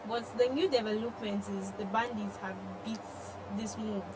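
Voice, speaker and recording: A woman's voice: mid-range voice, fairly even intonation, moderate pace. A woman with a Nigerian accent co-hosting a conversational discussion of the news. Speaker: talk show co-host discussing the news. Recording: noisy room ambience.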